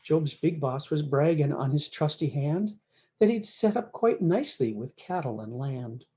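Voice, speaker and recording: A woman's voice: low voice, animated, moderate pace, dark tone, lo-fi sound. A woman reading a rhyming cowboy storybook aloud in a folksy way, with short asides to her listeners. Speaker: story time host reading a picture book.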